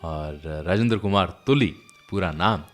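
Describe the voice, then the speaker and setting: Male radio host, low voice, conversational storyteller, chatty and anecdotal, with faint music underneath. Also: a little slow. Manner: chatty, anecdotal, addresses listeners directly. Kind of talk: conversational storytelling presentation of classic film songs.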